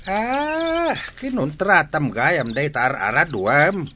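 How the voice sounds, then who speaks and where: A man acting an old Filipino-accented village father in a comedy radio play, blunt and exclamatory. His delivery is slow and very animated, with a sharp edge, over noticeable background noise. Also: on the high side, exaggerated voice. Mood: fatherly. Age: older. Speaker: radio drama actor.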